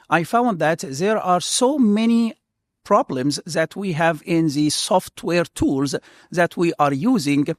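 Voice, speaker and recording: A man from Egypt, a computer science professor in his middle years, answers interview questions earnestly, often restarting his phrases. His voice sits fairly high for a man. The recording is dry and close, with no room sound. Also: dry recording.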